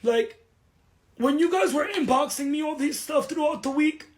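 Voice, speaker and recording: A woman's voice: high voice, normal speed. An upset, emotional woman speaking confessionally to followers on a livestream. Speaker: reality show cast member.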